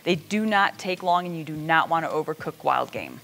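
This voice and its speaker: A woman's voice: deep voice, lively intonation, normal speed, creaky, a bit bright, a little hiss or hum. A middle-aged woman hosting a casual cooking demonstration in a plainspoken, folksy way, recorded in a home kitchen. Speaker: cooking host.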